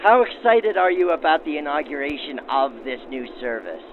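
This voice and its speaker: A man's voice: a little high, big pitch swings, normal speed, noisy background. A man hosting and interviewing in a formal, descriptive old-time radio manner, with his voice filtered like a 1940s broadcast. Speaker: host and interviewer of a train excursion show. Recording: narrow phone-like vintage radio sound, music behind.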